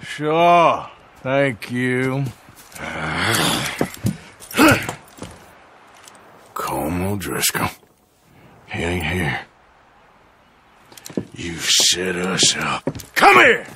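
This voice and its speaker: An actor playing a gruff, weary, cynical American outlaw enforcer of the Old West in a video game, blunt and sardonic. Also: male voice, deep voice, huge pitch swings, extremely slow, long pauses, background noise, heavily acted. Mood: wary. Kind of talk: video game cutscene acting.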